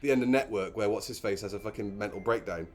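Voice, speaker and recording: A man's voice: lowish voice, fast. A man giving casual, comic movie commentary as co-host, with a sarcastic, mocking manner. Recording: film soundtrack playing underneath. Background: film music and dialogue.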